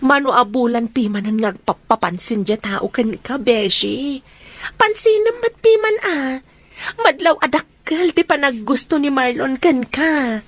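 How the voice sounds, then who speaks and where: An actress playing a perky, teasing young best friend in an Ilocano radio comedy drama, chatty and coaxing. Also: female voice, high-pitched, expressive, measured pace, dry recording, exaggerated voice.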